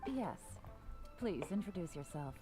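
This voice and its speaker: A composed, shrewd woman assessor from a video game. Her voice is fairly low and very mellow, with articulate, businesslike delivery. Faint music plays behind her. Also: sing-song, measured pace.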